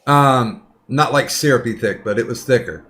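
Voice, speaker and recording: A man in crude casual podcast banter who hesitates a lot, with frequent ums and restarts, and delivers the jokes deadpan. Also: medium pitch, normal speed.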